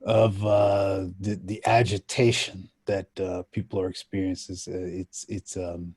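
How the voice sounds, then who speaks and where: A man from Ethiopia speaking as a panelist in a reflective, deliberate and halting way, with emphatic storytelling. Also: low-pitched, level tone, darkish tone, slightly rough, dry recording.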